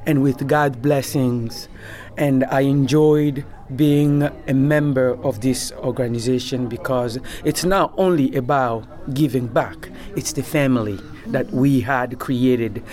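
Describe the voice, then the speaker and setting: A man from Congo, a member of a community association, answering interview questions in a halting way with many fillers. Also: mid-range voice, a little mellow, soft background music.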